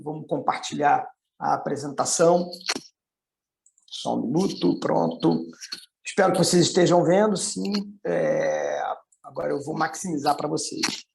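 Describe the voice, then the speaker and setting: A middle-aged man with a Carioca Rio accent, an economist giving an informal, explanatory presentation with hesitant pauses. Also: on the high side, creaky, darkish tone. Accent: Carioca (Rio de Janeiro) Brazilian. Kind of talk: informal online presentation with slides.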